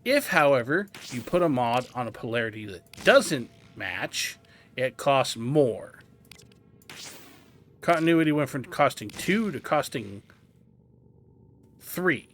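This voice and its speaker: A man narrates a game tutorial in a bright, forward voice at a middling pitch. He is methodical and explains things step by step. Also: sing-song, takes it slowly, pauses often. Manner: methodical, instructional. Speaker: game guide host.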